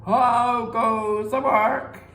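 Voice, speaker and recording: A woman voicing a lazy, fat old male farmer who calls out slowly and loudly in a high voice. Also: steady tone, distant mic. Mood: bossy. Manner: slow, loud, high calling voice. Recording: echoey room.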